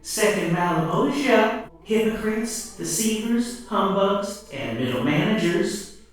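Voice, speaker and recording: Man doing spooky hell tour guide lady, whispery slow announcements, huge echo, fantasy audio story. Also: lively intonation, soft background music. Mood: accusing whisper. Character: eerily cheerful female infernal guide, speaking inside one's head. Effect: heavy echo on a voice in the head. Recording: deep echo, distant treated sound.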